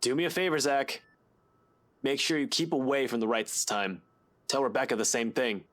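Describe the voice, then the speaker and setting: An actor playing a tired, protective investigator in a visual novel game, guarded, brusque and earnest. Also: male voice, mid-range voice, fairly even intonation, moderate pace, a bit bright, natural voice. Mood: concerned. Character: tired, protective adult male investigator, gruffly determined.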